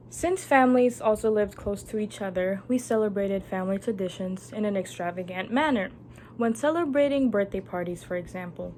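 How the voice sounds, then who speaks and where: Young Filipina giving an earnest speech. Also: female voice, mid-range voice, darkish tone, a little hiss or hum. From Philippines. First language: Tagalog. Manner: earnest, measured delivery. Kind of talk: prepared speech.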